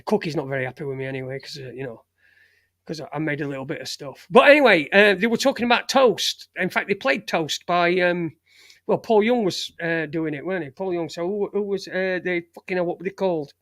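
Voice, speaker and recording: A Sheffield-accented man in his forties hosts a loose live stream. His voice sits a little high and sounds close and dry. He wanders from story to story, cursing casually and restarting his sentences. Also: brisk, fairly bright tone, no room sound. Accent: Sheffield, Yorkshire. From England. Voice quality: chatty, swears freely, lots of fillers and restarts. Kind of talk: rambling casual chat to a live chat room.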